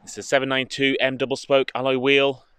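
A British man giving an informative, steady walkaround presentation as a car dealership presenter, speaking into a handheld phone outdoors. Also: medium pitch, fairly quick, smooth, dry recording. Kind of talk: walkaround product presentation, semi-improvised commentary. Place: outdoors in a car park, partly inside a car.

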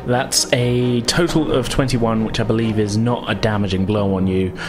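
Low-voiced man running a tabletop game. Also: fairly even intonation, normal speed, heavy background noise. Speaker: game master. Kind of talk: casual narration of a tabletop role-playing game. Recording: music behind the voice.

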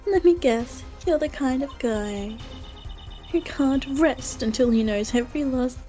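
Woman playing a high-voiced, snippy young witness. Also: measured pace, mellow, faint music behind. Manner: dismissive, flippant. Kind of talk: live fan dub of a courtroom game.

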